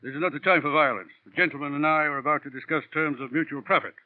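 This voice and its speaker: Actor as pompous fat villain, tinny old radio. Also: male voice, on the high side, fast, slight background noise, lightly acted. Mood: pompous, bargaining. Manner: florid, elaborately polite, long-winded speech. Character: urbane, pompous, very fat male villain, verbose and menacing. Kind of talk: 1940s radio drama acting. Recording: tinny, narrow-band old radio broadcast.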